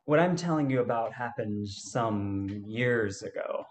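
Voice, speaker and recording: A man playing an easygoing gold-hunting adventurer in a radio play, telling his story in a halting way. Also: medium pitch, expressive, natural voice. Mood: amused storytelling.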